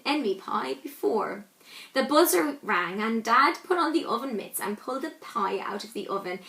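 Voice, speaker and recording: Female storyteller for a children's picture book: high, bright voice, dramatic emphasis and pauses. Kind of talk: expressive read-aloud storytelling.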